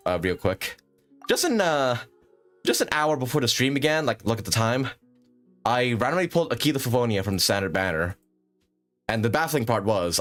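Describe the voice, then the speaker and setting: Guy streaming a game, kinda low voice but bouncy intonation, chatty and rambly, faint music behind. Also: fairly bright tone. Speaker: livestream host. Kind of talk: casual gaming stream commentary.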